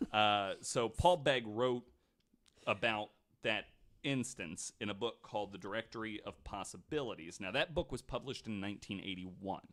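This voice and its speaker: A man's voice: on the low side, normal speed, fairly bright tone. A man hosting a podcast, telling a story in casual chat, reading quotes aloud with lots of fillers. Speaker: podcast host.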